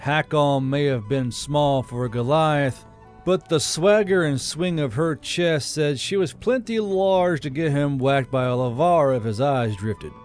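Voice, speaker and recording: A man's voice: on the high side, a little slow. A man reading scripted fantasy fiction as an audiobook narrator. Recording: soft music bed under narration.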